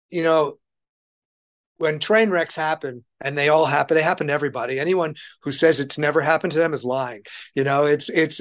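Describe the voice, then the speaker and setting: A man being interviewed as a touring musician, telling anecdotes conversationally and often repeating words as he thinks aloud. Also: a little high.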